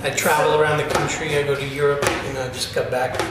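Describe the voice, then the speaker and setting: Male drum teacher from Italy, slightly high voice, rambling casual explanations, far-off mic in a reverberant room. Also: level tone, fast talker. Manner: chatty, explanatory, lots of fillers. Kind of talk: casual interview answers. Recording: echoey room, distant mic, noisy.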